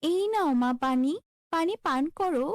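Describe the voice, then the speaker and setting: A woman plays a meek young daughter from a cartoon folk tale. The voice is very high and lilting, earnest and pleading, in a dry recording. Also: lively intonation, unhurried, no room sound. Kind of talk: cartoon fairy-tale character voice.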